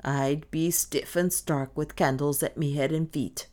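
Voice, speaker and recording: A woman as elderly Irish maidservant, doleful, stubborn, superstitious, dramatic, very lively intonation, audiobook character. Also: on the low side, a little slow, voice pushed up. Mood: fearful, gloomy.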